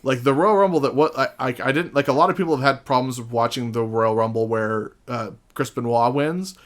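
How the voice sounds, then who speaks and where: A man in a casual podcast discussion, recounting stories in an animated, profane way as a guest. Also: medium pitch, fairly quick.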